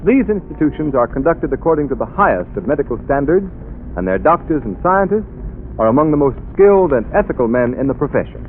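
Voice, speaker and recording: A formal 1930s radio announcer delivering his lines in a measured, steady way. The recording is thin and phone-like, with a faint hiss underneath. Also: a little high. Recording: tinny 1930s radio broadcast.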